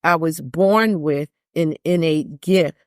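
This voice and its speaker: Older lady jazz singer chatting on a podcast, low deep voice, keeps repeating stuff for emphasis, dry close mic. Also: slow, no room sound. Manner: emphatic, repeats phrases for stress. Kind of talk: candid podcast conversation.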